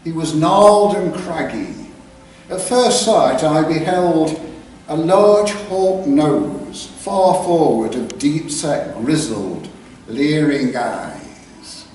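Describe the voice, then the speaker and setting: An older man with an English East Midlands accent, telling a story live with careful diction and dramatic pauses. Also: on the high side, expressive, a little mellow. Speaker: author reading his own work. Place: live reading in a theatre clubroom. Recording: very echoey hall, distant mic, background noise.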